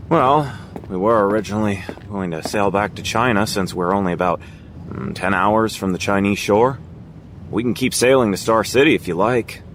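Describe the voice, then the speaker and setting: An actor playing an obliging, easygoing sailor in an audio drama, talking casually and a little haltingly. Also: male voice, on the low side, slight background noise, close to an everyday voice.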